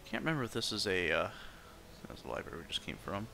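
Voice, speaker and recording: A man's voice: sits low, big pitch swings, fast, vocal fry, pushed and bright, slight background noise. A man livestreaming a game, chatting informally and thinking aloud as he plays. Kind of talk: casual livestream chat over gameplay.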